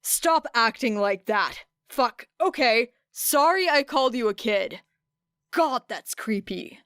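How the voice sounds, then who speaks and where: Woman voicing a gruff, irritable street vigilante man. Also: on the high side, lively intonation, slow, a bit bright, dry recording, studio quality. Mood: exasperated. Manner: gruff, muttering, curt. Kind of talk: audiobook character dialogue.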